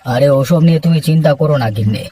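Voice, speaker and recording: A man's voice: on the high side, fast, warm tone, far from the mic, close to an everyday voice. A man playing a selfish, self-important village headman in a cartoon fairy tale, brusque and scolding. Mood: dismissive, irritated.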